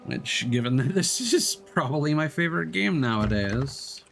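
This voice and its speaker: A man's voice: on the high side, big pitch swings, normal speed, gravelly, fairly bright tone, slight background noise. Chatty man streaming a game. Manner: chatty, self-correcting, thinking aloud. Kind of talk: casual gameplay commentary.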